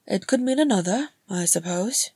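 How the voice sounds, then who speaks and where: A woman's voice: mid-range voice, animated, normal speed, dark tone, slightly rough, dry recording, pitched above the performer's own voice. A woman voicing a prim, flustered, stubborn male hobbit in a story, with a reserved, fussy manner. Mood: grudging, flustered.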